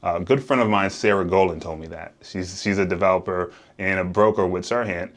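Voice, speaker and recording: A man's voice: low voice, fairly quick. A man with an American accent talking casually as a real estate developer and agent, explaining his work. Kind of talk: casual explanatory vlog talk.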